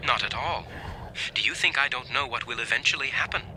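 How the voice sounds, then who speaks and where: A man's voice: mid-range voice, fairly quick, pushed and bright. An actor playing a man's clever, lonely younger self on tape in a radio play, sly, articulate and needling. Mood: taunting, sly. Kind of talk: dramatic radio play acting. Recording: noisy radio drama recording.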